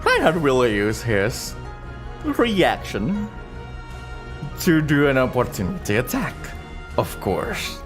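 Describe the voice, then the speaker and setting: A man running an improvised tabletop game on a livestream as game master, telling the story in a halting way while thinking aloud. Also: medium pitch, wildly swooping pitch, music in the background.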